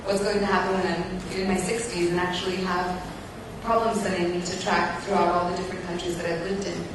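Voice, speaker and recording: A woman's voice: on the low side, level tone, fairly quick. A woman in her twenties speaking thoughtfully and unscripted as a participant in a conference discussion. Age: 20s. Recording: roomy, distant mic, noisy hall.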